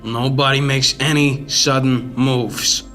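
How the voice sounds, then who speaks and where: A man's voice: mid-range voice, fairly even intonation, slow pace, bright, forceful tone, faint music behind. A young man playing a wary, protective sidekick in a radio mystery drama. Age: young adult.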